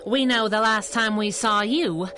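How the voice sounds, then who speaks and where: An actress playing a plucky, flippant superhero sidekick in a radio drama, playful and teasing. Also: female voice, on the high side, unhurried, pushed and bright, a little hiss or hum. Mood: sarcastic. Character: plucky, sassy female masked superhero sidekick. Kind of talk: 1930s-style radio drama acting.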